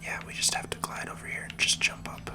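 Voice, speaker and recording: Whispering ASMR gaming commentator. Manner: soft whispering, unhurried, thinking aloud. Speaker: gaming video host. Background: video game music.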